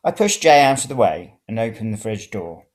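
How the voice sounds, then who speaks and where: A man's voice: lowish voice, very animated, normal speed. A man reading a first-person story aloud. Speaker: reader of serial fiction.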